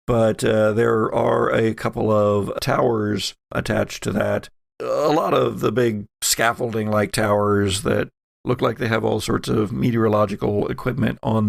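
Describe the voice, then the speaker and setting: Halting game master with a creaky voice. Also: male voice, fairly low pitch, dry recording. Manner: halting, deliberate scene-setting. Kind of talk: narrating a tabletop horror game podcast.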